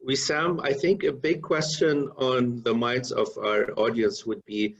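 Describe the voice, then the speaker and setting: A man's voice: medium pitch, steady tone, a little slow. Man asking questions over a muffled call. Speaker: interview host. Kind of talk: interviewing.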